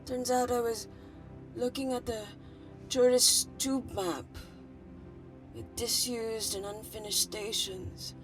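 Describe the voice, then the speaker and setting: An actress playing a young village intern in a film drama, speaking softly and haltingly about grief and betrayal. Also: female voice, on the high side, fairly even intonation, music in the background, poor audio. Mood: wistful. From Kindar, a fictional totalitarian state. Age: young adult.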